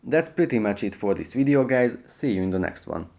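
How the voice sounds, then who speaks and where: A man's voice: lowish voice, expressive, fairly quick, gravelly, darkish tone. A man presenting a how-to tutorial as its host, in scripted narration.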